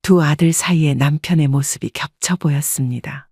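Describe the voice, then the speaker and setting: A woman narrating a story in the first person, calm and measured. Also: sits low, breathy edge, dry recording, studio quality.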